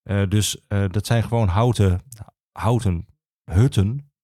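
A man with a Netherlandic Dutch accent explaining as an expert guest, conversationally and with halting, hesitant phrasing. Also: lowish voice, dark tone, no room sound, studio quality. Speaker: regional archivist, podcast guest.

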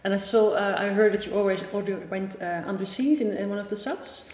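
A woman's voice: mid-range voice, a little fast, darkish tone. A woman host with a Dutch accent, interviewing guests, hesitating often with fillers and restarts. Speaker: podcast host. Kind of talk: interview hosting. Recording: echoey conference room.

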